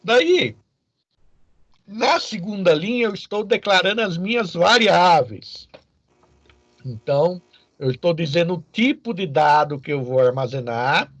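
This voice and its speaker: Male Brazilian teacher, notably high voice, didactic and informal, question tags; dull lo-fi sound. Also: big pitch swings, a little slow, no echo. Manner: didactic, checks in with short tag questions. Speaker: programming teacher. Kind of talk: informal explanatory lecture to students.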